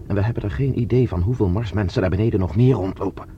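A man's voice: lowish voice, fast, warm tone, natural voice. A man playing a determined scientist on the run in a Dutch science fiction radio drama, speaking urgently as he explains and plots. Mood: urgent, resolute. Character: determined male scientist on the run, plotting escape. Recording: dull lo-fi radio recording with hiss.

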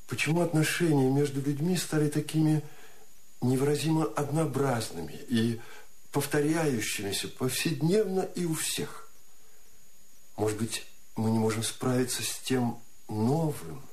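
An actor playing a reflective, tender, solitary male poet reading his letters in a measured, formal way in a radio play. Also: mid-range voice, natural voice. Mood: pensive.

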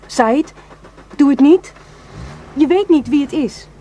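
An actress in a radio drama playing a fearful village wife who is anxious, imploring and insistent. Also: female voice, high voice, expressive, takes it slowly, warm tone. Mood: urgent warning.

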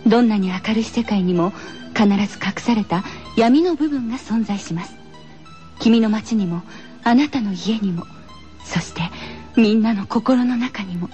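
A woman's voice: medium pitch, unhurried, mellow, soft background music. A woman hosting a radio show, moving between scripted introductions and friendly chat.

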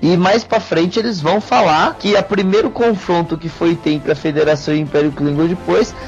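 Brazilian guy with a high gravelly voice explaining stuff on a podcast, kinda dull lo-fi sound. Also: brisk. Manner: explanatory, detailed. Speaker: podcast host. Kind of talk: casual podcast discussion. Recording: dull lo-fi audio with music.

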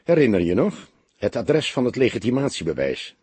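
A man voicing a stout middle-aged police detective in an audiobook, calm, wry and courteous, with a laconic way of talking. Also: mid-range voice, normal speed, slightly rough, dry recording. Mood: dry, calm.

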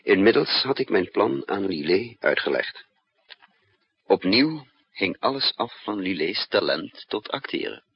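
A man's voice: fairly low pitch, sing-song, measured pace, creaky, breathy edge, heavily acted. An actor playing a resourceful male spy in a 1960s Dutch radio drama, thinking aloud in hesitant, broken phrases. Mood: reflective narration. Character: resourceful male spy narrating his own risky mission. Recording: muffled, noisy 1960s radio recording.

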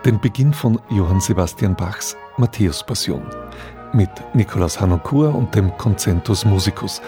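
A man reading a scripted radio introduction in a measured, formal, explanatory manner. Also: fairly low pitch, animated, over music. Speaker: radio presenter introducing a radio drama.

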